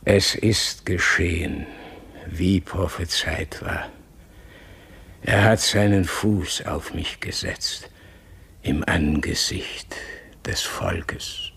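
An actor playing a broken, fallen old king in a theatrical radio drama, halting, hushed and solemn. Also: deep voice, vocal fry, slightly rough, whispered, full character voice. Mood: broken, fateful.

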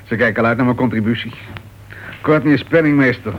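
A man's voice: mid-range voice, quick pace, lightly acted. Radio play, muffled and slightly noisy: male actor as irritable, self-important author, impatient and sarcastic. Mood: grumbling, sarcastic. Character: irritable, self-important male crime writer, husband at home. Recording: dull, noisy old radio play recording.

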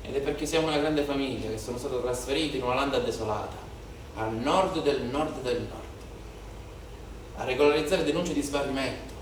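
An actor playing a weary, bitter policeman and shepherd's son from southern Italy in a declamatory theatrical monologue with dramatic pauses and repetitions. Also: mid-range voice, natural voice. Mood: bitter, resentful. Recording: very echoey theatre, distant mic, faint noise.

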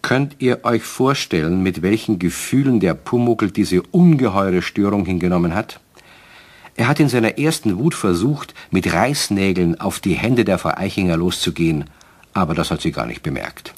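A man's voice: deep voice, animated, normal speed, breathy edge. A man narrating a German children's radio play as a scripted storyteller.

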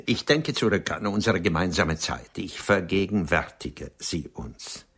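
A proud, wounded gentleman writer in a radio play. His voice is low and husky, and he speaks in a formal, measured, defensive way. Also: animated, exaggerated voice. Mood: wistful recollection. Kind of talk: radio drama dialogue.

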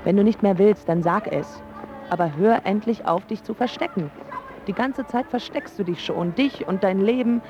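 A woman's voice: mid-range voice, normal speed, lightly acted. Actress as a passionate young woman, slightly muffled. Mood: angry, reproachful. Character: passionate, wounded, spoiled young woman in love. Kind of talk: radio drama acting, first-person narration.

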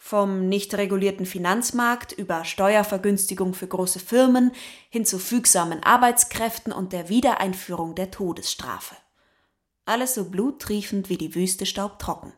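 A woman's voice: medium pitch, moderate pace, no room sound, clean studio sound. A woman delivering scripted narration as the narrator of a German radio drama. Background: music.

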